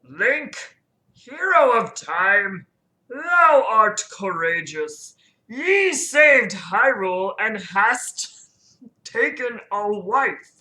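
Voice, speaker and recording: Woman doing a slow, solemn ancient tree spirit. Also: medium pitch, very animated, bright, forceful tone, full character voice. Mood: solemn, grand. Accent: Southern US. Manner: slow, ceremonious, archaic speech. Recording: roomy, echoing indoor sound.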